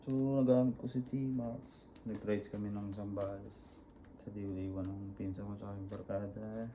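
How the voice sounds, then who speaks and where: A man with a Filipino accent giving a casual hands-on tutorial, talking informally and haltingly as he works through each step. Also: on the low side, level tone, mellow, a little hiss or hum. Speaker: vlogger demonstrating a product installation.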